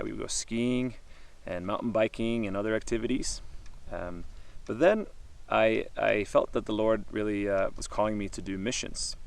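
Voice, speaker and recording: A man's voice: lowish voice, gravelly. A man from Norway, speaking casually and earnestly to the camera outdoors above a mountain valley, with many ums and uhs. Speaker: missionary vlogger.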